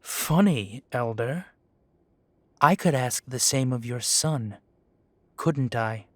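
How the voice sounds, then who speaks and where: A man's voice: medium pitch, slow, a bit bright, no room sound. An actor playing a defiant young male werewolf who answers the council with dry, mocking politeness. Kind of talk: audio drama acting.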